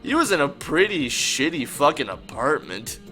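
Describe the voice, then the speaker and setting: Young man swearing with a lively voice. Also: on the high side, normal speed, soft background music. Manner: profane, jokey, mock-dramatic outbursts. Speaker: podcast co-host. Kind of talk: casual chat.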